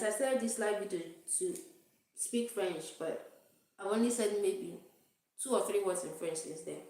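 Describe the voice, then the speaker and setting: A woman's voice: fairly low pitch, darkish tone. Nigerian woman chatting in an echoey room. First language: Igbo. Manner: rambling, self-deprecating, laughs often. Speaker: vlogger. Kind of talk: casual livestream chat.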